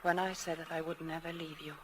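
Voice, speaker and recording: A woman's voice: low voice, level tone, fast talker, natural voice. Pleading woman, noisy old radio recording acted. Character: anguished, tender married woman torn between two lovers. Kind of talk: 1940s radio melodrama acting.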